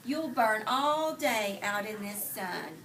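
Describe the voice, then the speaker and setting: A woman teacher doing an interactive read aloud for young children, telling the story expressively with deliberate phrasing and pausing to put questions to them. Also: medium pitch, lively intonation, a bit bright. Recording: distant mic, clearly noisy room.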